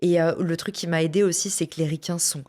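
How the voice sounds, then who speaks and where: A French woman telling a personal story in a casual interview, lively and slangy, with many fillers and restarts. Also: on the low side, quick pace. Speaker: podcast guest, entrepreneur.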